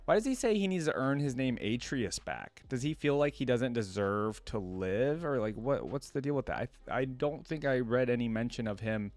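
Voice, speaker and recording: A man's voice: mid-range voice, animated, moderate pace, few pauses, darkish tone, no echo. A man hosting a reaction video, commenting casually on game voice lines and musing aloud with frequent questions.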